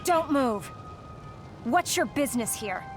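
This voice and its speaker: A woman's voice: high voice, animated, slow. A woman playing a guarded, firm soldier in command in a video game cutscene, speaking tersely. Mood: challenging. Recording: loud music behind voice.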